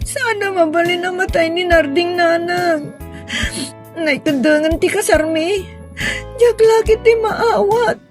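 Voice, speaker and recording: A woman's voice: very high voice, measured pace, faint music behind. A woman playing a grieving young daughter in a sentimental audio drama, speaking plaintively and hesitantly. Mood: grieving, longing. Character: grieving young daughter pining for her lost sweetheart.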